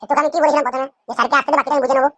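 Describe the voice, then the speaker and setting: A woman's voice: high-pitched, flat delivery, rapid-fire, noisy background, lightly acted. A woman voicing a cheeky, cocky schoolboy in a comic cartoon. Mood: smug. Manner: cheeky, insistent. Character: cheeky, cocky schoolboy prankster with absurd answers.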